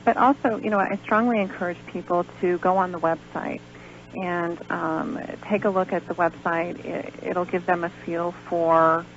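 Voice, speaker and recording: A woman phoning in as a guest on a radio interview, talking in an earnest, encouraging way. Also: lowish voice. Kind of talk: live promotional radio interview chat. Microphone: calling in by phone. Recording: lo-fi phone-in on radio, noisy hum.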